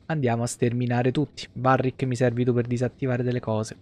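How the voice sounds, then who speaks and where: A man's voice: medium pitch, monotone, a little fast, a little mellow. A man in his thirties casually commenting on a game live, in slangy speech with free swearing. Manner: crude, slangy, swears freely. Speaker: game streamer. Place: small room at home, streaming setup. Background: game music.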